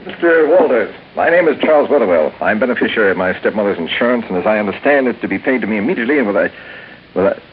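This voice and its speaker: A man's voice: on the low side, expressive, racing, close to an everyday voice. An actor playing a nervous, guilty male heir in a 1950s radio drama, stumbling and defensive. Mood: self-important. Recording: tinny old radio recording, faint hiss.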